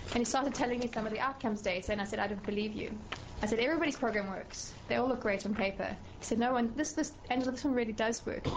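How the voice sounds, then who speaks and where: A middle-aged woman with a South African accent, a professor testifying before a committee in a measured way, with frequent fillers and restarts. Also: on the high side, a little hiss or hum, low-quality recording. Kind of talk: formal testimony.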